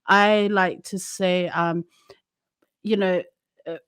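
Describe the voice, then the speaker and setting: A woman hosting a podcast, speaking reflectively and at a measured pace with frequent fillers. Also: fairly low pitch, steady tone, fairly bright tone, clean tone, dry recording. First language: Samoan speaker alongside English. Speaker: podcast host. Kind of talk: casual interview talk.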